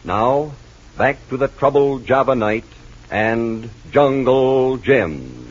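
Animated male old-time radio announcer. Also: medium pitch, slow pace, music in the background. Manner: emphatic salesman delivery. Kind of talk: 1940s radio announcing, scripted promotion. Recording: muffled old radio recording.

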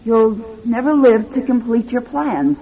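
A woman's voice: a little high, lively intonation. An actress playing a troubled stage psychic, a blunt woman making dramatic pronouncements in a radio drama. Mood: ominous, accusing. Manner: slow, dramatic, accusing pronouncements. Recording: dull radio recording with crowd noise.

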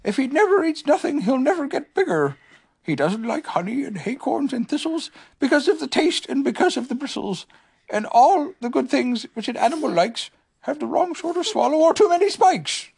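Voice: high voice, very animated, moderate pace, creaky, a little mellow, voice pushed up, heavily acted. A parent reading a bedtime story aloud, voicing a timid, stammering little piglet in a raised voice. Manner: stutters, nervous hesitations.

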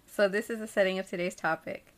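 A woman's voice: medium pitch, steady tone, moderate pace. Giggly woman telling a story. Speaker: podcast co-host. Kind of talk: casual storytelling chat.

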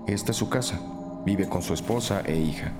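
An actor playing a sober, methodical police detective who reports and reflects in a scripted crime podcast drama. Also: male voice, low-pitched, fairly quick, music in the background, close to an everyday voice. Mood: matter-of-fact. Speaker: detective reporting case facts.

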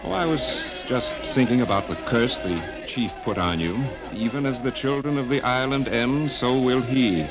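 An actor playing an uneasy, conscience-stricken scientist in a 1950s-style radio drama. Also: male voice, mid-range voice, normal speed, hardly any pauses, close to an everyday voice, poor audio. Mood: troubled. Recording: vintage radio recording, music underneath.

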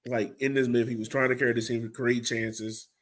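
A man with an average-pitched voice chats casually as a podcast co-host about sport. His delivery is informal and exasperated, often searching for the right words. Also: little pitch movement, fairly quick, fairly bright tone. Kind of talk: casual soccer fan discussion.

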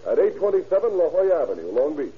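A man's voice: fairly high pitch, very animated, quick pace. An actor playing a plain-spoken, matter-of-fact yarn salesman on the witness stand in an old radio drama. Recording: tinny, narrow-band old radio recording.

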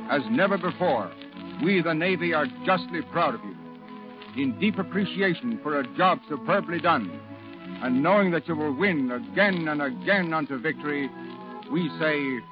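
A male actor plays a formal, proud colonel in a 1940s radio drama. His delivery is stately and oratorical, a little high in pitch. Music plays clearly behind him on a dull old recording. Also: close to an everyday voice.

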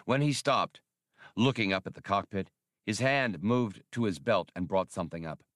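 A man's voice: lowish voice, normal speed, a bit bright, no room sound, studio quality. A man narrating a scripted adventure story as an audiobook reader.

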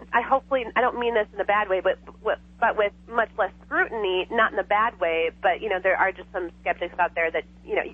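A woman's voice: on the low side, husky. A woman guest on a radio talk show, chatting casually over the phone, laughing often and filling her speech with "you know". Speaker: psychic guest. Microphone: telephone. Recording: tinny phone line.

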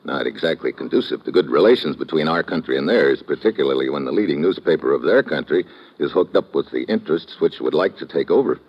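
A sardonic American male investigator, telling and acting an old radio adventure. His voice is deep and gravel-edged yet lively, clipped and unflappable, on a muffled vintage recording. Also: fast talker. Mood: wry, serious. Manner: laconic, sardonic, clipped present-tense storytelling.

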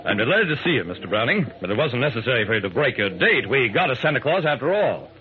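A man's voice: mid-range voice, very animated, quick pace, phone-quality sound, natural voice. A man playing a courteous, slightly hesitant hospital superintendent in an old-time radio drama. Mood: pleased, hesitant. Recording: band-limited old radio broadcast.

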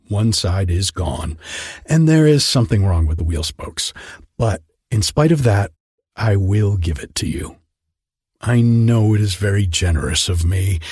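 A selfish miller speaks with smug, sanctimonious condescension in a husky, lively voice, as a male reader plays him. It sounds close and dry. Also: lowish voice, no room sound, full character voice.

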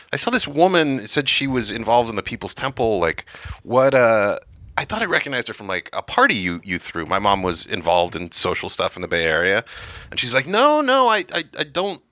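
A man hosting a podcast, chatting and telling a family story at a quick, lively clip. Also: medium pitch, dry recording. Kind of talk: casual chat.

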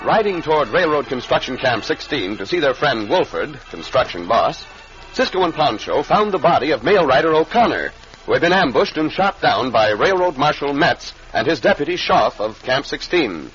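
A muffled vintage radio recording with music behind the voice. A male announcer narrates dramatically, his voice fairly high and full of swings. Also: very animated, moderate pace, fairly bright tone. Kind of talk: dramatic scripted old-time radio narration.

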